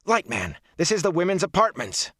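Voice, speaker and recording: A man voicing a fierce young village wise woman with a sharp, touchy, bossy manner in a fantasy audiobook. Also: lowish voice, very animated, moderate pace, dry recording, studio quality, voice pushed up. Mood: bossy, exasperated.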